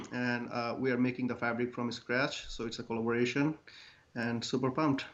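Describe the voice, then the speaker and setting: A man's voice: mid-range voice, flat delivery, moderate pace, muffled recording. A man from Bangladesh, a guest answering in an earnest, hesitant way and feeling under the weather. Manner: earnest, hesitant, many fillers. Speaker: guest, clothing brand founder. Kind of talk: casual interview answers.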